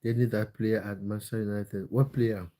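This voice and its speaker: A man from Cameroon talks casually to his livestream audience, reading out their comments and replying. His voice is mellow and dark. He hesitates often and repeats himself as he thinks. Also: on the low side, fairly even intonation, normal speed. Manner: reads out viewer comments and answers them, with many fillers and repeats. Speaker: livestream host answering chat. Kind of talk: casual livestream chat about football.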